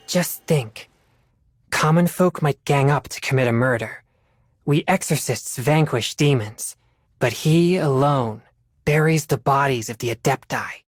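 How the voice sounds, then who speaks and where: A man's voice: a little high, dry recording, studio quality. An actor playing an earnest, disciplined teenage boy exorcist in a video game, speaking formally in a youthful voice. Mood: admiring, earnest. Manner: formal, measured, earnest delivery. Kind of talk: scripted video game character dialogue. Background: music.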